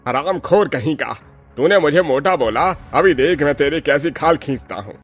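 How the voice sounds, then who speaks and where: Mean landlord, grumpy, scolding, threatening, cartoon acting, higher male pitch, faint music. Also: lively intonation, moderate pace, husky. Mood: furious. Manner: scolding, blustering. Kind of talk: Hindi cartoon story acting.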